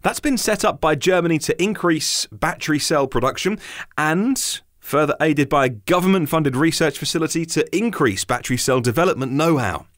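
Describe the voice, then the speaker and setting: A man's voice: on the high side, lively intonation, moderate pace, fairly bright tone, no room sound, studio quality. A man hosting a news podcast, reading items out and adding informal, chatty asides. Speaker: podcast host.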